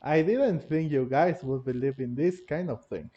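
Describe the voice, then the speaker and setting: A man's voice: a little high, normal speed, warm tone, muffled recording. A man giving casual, bemused, chuckly reactions as he plays through a video game. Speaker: gamer commentating on a playthrough. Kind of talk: casual let's-play reaction.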